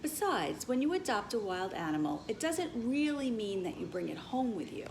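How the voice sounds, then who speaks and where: A patient female schoolteacher from a children's storybook, as a woman reads her aloud, explains things with emphasis. Her pitch is high and swings widely, over a faintly noisy recording. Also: sing-song, moderate pace. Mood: reassuring. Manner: clear, instructive, emphatic.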